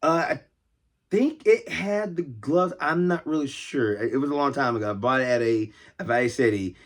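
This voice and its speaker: A man's voice: mid-range voice, normal speed. An American man hosting a casual, unscripted vlog as a toy collector, talking in a rambling, conversational way and often repeating himself. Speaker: toy collector and video host.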